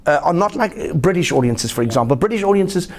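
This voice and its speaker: Fast-talking South African comedian. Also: male voice. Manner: animated anecdotes with lively pitch jumps. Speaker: guest comedian. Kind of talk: casual interview storytelling.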